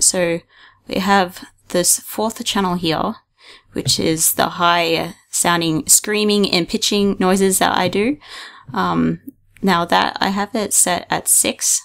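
A young woman hosting a casual screen-recorded software tutorial, talking hesitantly with frequent ums and restarts into a desktop mic. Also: on the low side, steady tone, slow pace, creaky. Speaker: video tutorial host.

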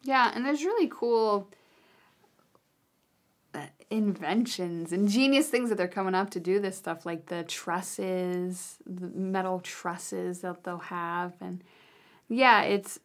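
A woman's voice: medium pitch, slow pace. Brief: woman, 30s, chatty co-host, laughs easily, small studio room. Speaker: podcast co-host. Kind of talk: casual chat. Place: small studio room with wood-panel wall.

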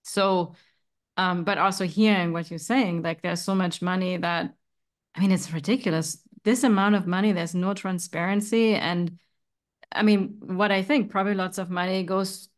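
A woman's voice: fairly low pitch, fairly even intonation, moderate pace. A woman in her thirties, from Germany, hosting a friendly interview over a video call with many fillers. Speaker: podcast host. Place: home room.

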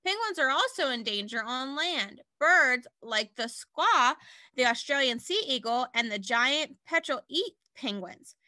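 A woman reading aloud to young children like a teacher, in an animated way with simple explanatory asides. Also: high voice, a little slow, dry recording.

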